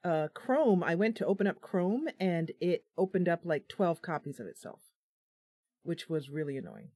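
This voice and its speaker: A woman's voice: on the low side, very animated, moderate pace, mellow, slightly rough, no room sound, clean studio sound. A tired woman streaming live from her home computer, chatting casually, laughing at her own slip-ups. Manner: chatty, giggly, self-deprecating.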